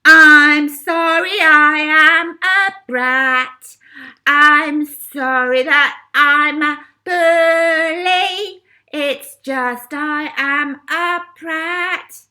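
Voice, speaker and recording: A woman voicing a bratty young girl bully who shouts a slow, drawn-out song in a children's audiobook. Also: high-pitched, pitched above the performer's own voice, full character voice. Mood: loud singsong.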